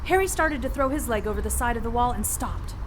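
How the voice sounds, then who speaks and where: A woman's voice: a little high, lively intonation, fast, faint music behind, lightly acted. A woman playing a plucky, sassy 1940s heroine who narrates her adventure in an audio drama with brash, wry slang. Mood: sassy, wary.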